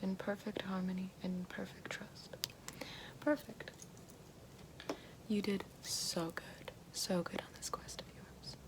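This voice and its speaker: A woman playing a calm, gentle witch in an ASMR roleplay, whispering slowly and soothingly right at the mic and repeating soft praise. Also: medium pitch. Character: calm gentle female witch guiding elements. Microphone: close binaural-style mic. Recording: noisy hiss behind voice.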